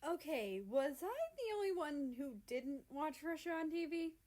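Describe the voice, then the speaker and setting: A cute young man from a storybook, bashful and in love, as a woman narrator acts him out. He is whiny and giggly, with drawn-out, high-pitched speech. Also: sing-song, darkish tone.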